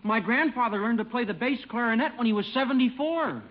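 An actor playing a polite, earnest young family man with a husky voice in a 1950s radio comedy, on an old broadcast recording. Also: very high voice, a little fast, dull audio.

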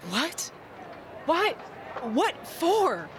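A woman's voice: very high voice, very animated, extremely slow, background noise, full character voice. An actress playing a frightened, bewildered mother with an anxious, questioning manner in an audio drama. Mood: bewildered, alarmed. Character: Nia, frightened, bewildered mother dragged off to jail.

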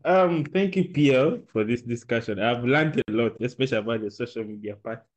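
A man hosting a podcast interview, affirming his guest often and pausing with fillers. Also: mid-range voice. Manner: affirming, says "nice" often, hesitant fillers. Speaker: podcast host. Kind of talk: conversational interviewing.

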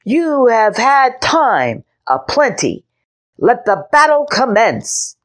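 A woman voicing a pompous six-year-old boy knight who makes grand, loud declarations. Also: a little high, big pitch swings, slow, voice pushed up. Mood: boastful.

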